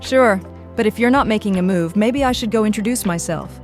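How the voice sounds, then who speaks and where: A woman playing a sly, teasing high school friend with a taunting manner in a teen romance drama. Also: a little fast, soft background music, lightly acted.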